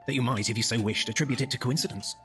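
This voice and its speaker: A man voicing a stubborn, slow-witted old father with a husky voice in a dramatic horror reading. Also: mid-range voice, music in the background, voice pushed up, natural voice. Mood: insistent. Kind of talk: dramatic horror audiobook acting.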